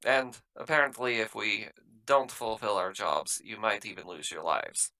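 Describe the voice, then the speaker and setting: A man playing a tabletop roleplaying game, explaining things in a halting, matter-of-fact way as he improvises in character. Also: on the low side, steady tone, bright, forceful tone, rough recording.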